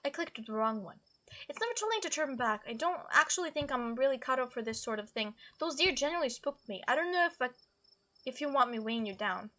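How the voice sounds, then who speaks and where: A woman's voice: high-pitched, animated, quick pace, a bit bright. A woman hosting a game playthrough, reading dialogue aloud expressively and adding her own commentary. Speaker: gaming video host.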